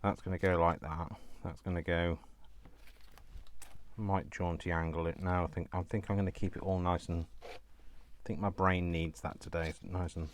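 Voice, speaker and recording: Rambling, jokey deep-voiced man in his fifties. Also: level tone, moderate pace. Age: 50s. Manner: rambling, self-mocking, jokey asides. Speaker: crafting channel host. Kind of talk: chatty crafting vlog. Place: home craft room. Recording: faint background noise and clicks.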